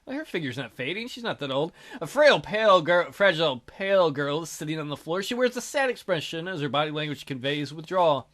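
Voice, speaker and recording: A man's voice: a little high, lively intonation, a little fast, few pauses, a bit bright. A man hosting a let's play, reading a visual novel aloud theatrically with goofy, crude joking commentary.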